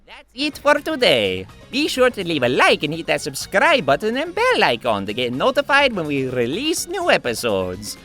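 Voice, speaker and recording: A woman voicing a perky young woman in a cartoon game parody, with an expressive, bubbly manner. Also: lowish voice, moderate pace. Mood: cheerful. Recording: music behind the voice.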